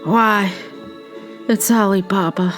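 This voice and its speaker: A woman voicing Scrooge, an old man, excited and caught between laughing and crying. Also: mid-range voice, slow pace, soft background music, lightly acted. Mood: ecstatic.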